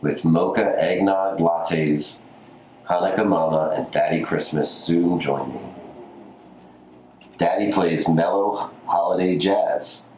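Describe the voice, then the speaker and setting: A man with a notably deep voice reads a storybook aloud. The recording is roomy and echoey, with faint noise behind him. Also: takes it slowly, a little mellow. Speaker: community story reader. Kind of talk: scripted storybook reading.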